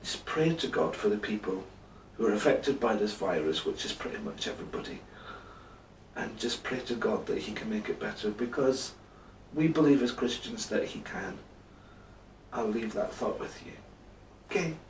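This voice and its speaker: A man in his thirties telling a children's Bible bedtime story with drawn-out emphasis, seated indoors. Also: lowish voice, lively intonation, a little hiss or hum. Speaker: storyteller for a church. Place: indoor room, seated before a backdrop. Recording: roomy, echoing, distant microphone.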